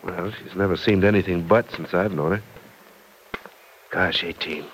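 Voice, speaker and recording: A man's voice: deep voice, normal speed, low-quality recording. An affable, stammering young man. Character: young man, good-natured, easygoing ex-fiancé. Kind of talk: 1940s radio drama acting. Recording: old radio transcription with faint hiss.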